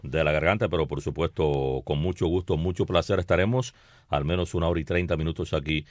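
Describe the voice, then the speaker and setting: A deep-voiced man talking sports on the radio, with a slightly hoarse throat. He talks in an effusive, warm way, full of greetings. The sound is dry and close in the studio. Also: fairly quick, no echo. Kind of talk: casual radio sports chat.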